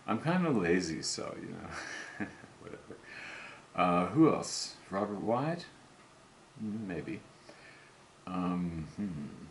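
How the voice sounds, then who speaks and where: An older man talking slowly and self-assuredly to camera about recording cover songs, rambling and musing as he goes. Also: low voice, very animated, vocal fry, a little hiss or hum. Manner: slow, musing, self-assured, breaks into song. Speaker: home singer recording covers. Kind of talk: rambling solo monologue to camera.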